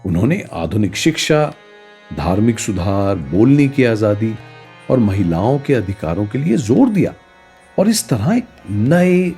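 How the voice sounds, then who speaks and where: An actor playing a learned teacher who explains history in a didactic, rhetorical way, mixing in English words, in an educational radio play. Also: male voice, on the low side, sing-song, a little slow, dark tone, faint music behind. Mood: explanatory, keen.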